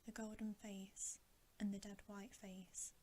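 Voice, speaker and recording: A woman whispering a bedtime story in a hushed, breathy, gentle and unhurried ASMR style. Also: mid-range voice, level tone, slight background noise. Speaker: ASMR reader.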